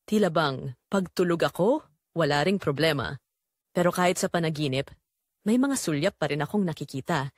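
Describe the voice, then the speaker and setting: A woman's voice: sits low, animated, moderate pace, long pauses, dry recording, clean studio sound. A woman with a Filipino accent reading a scripted first-person drama in an emotive, solemn way. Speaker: audio drama narrator.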